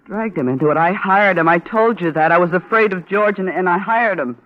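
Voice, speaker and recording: A woman's voice: fairly low pitch, brisk, lightly acted. An actress playing an anxious woman under questioning in a radio crime drama. The delivery is defensive and faltering, with a pleading edge. The aged recording is dull and lo-fi. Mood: distressed, protesting. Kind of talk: old-time radio drama acting.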